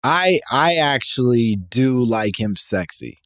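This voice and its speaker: Laid back guy cohosting a comedy pod, slow drawl, swears, laughs a lot, dead dry sound. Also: medium pitch, expressive, fairly bright tone, no room sound. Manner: unhurried, profane, laughs often, halting fillers. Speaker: podcast co-host. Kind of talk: casual comedy podcast banter.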